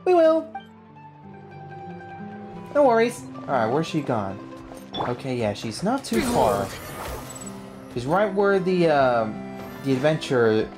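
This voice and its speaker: Animated male gaming commentator. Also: on the high side. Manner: excitable, reactive, repeats himself. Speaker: gaming YouTuber. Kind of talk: casual game playthrough commentary. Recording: game music behind voice.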